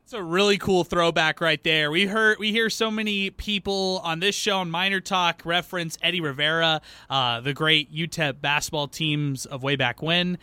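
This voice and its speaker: Bright-voiced male sports radio co-host. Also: on the high side, steady tone, moderate pace, few pauses, clear, resonant tone, dry recording. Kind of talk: casual sports talk.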